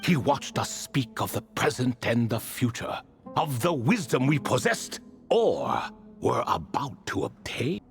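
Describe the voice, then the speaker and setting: An actor playing an ancient, wry and refined spirit who tells stories in a proud, wistful way in a video game. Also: male voice, medium pitch, very animated, measured pace, vocal fry, faint music behind. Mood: wistful, solemn. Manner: theatrical, refined, leisurely storytelling. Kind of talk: video game character dialogue.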